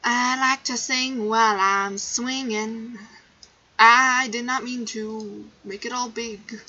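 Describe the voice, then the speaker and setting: A young woman streams games in a playful, goofy manner. Her voice sits fairly high with a bright, forceful edge and some huskiness. The talk is casual and silly, caught on a slightly dull microphone. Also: fairly even intonation, slow. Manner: playful, goofy, breaks into song. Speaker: game streamer. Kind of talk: casual livestream chat. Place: bedroom.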